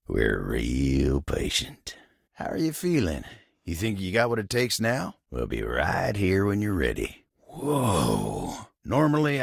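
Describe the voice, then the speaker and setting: A man voicing a gentle, lovestruck living table in a video game, with a gravelly, husky Southern American drawl and a bashful, earnest, folksy manner. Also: low voice, no echo, clean studio sound, full character voice. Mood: tender, easygoing. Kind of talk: video game character acting.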